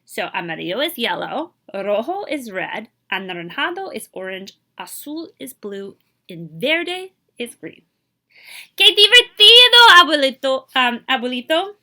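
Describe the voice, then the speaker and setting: Woman, bright forward voice, lively intonation, expressive children's read-aloud, bilingual English and Spanish, emphatic storytime delivery. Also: fairly high pitch, unhurried. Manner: animated, emphatic delivery for young listeners; switches between English and Spanish. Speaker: librarian reading a children's book aloud. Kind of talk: expressive picture-book read-aloud with translations and asides.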